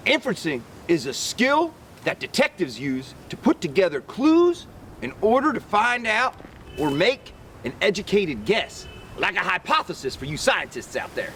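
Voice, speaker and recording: A man reading a children's book aloud expressively like a teacher, pausing to quiz his listeners. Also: very animated, pushed and bright. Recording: distant mic, rumbly background noise.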